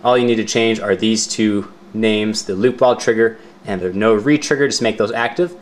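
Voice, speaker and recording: A man's voice: lowish voice, normal speed. A man narrating a step-by-step product tutorial in an informal, explanatory way, repeating key points for clarity. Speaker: product demonstrator.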